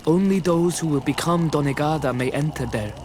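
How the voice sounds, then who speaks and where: Higher-voiced, solemn young tribesman played by a man. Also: a little fast, darkish tone, close to an everyday voice. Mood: guarded. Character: wary young native tribesman apprentice, solemn but friendly. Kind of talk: fantasy game dialogue acting. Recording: noticeable outdoor background noise.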